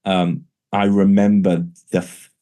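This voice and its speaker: A man's voice: sits low, flat delivery, unhurried, mellow. A man, a pastor, talking in an interview conversation, with a stammer that shows in repeated words and restarts.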